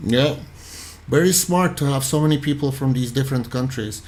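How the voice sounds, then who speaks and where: A man's voice: mid-range voice, moderate pace, a little mellow, slight background noise. A man from Iran, hosting a livestream, commenting casually and thinking aloud as he reacts to his chat. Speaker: livestream host.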